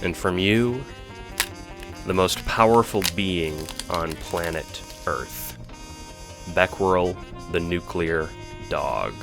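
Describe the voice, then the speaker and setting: A man's voice: low-pitched, takes it slowly, music in the background. A man reading scripted, dramatic second-person narration as the narrator of an audio drama.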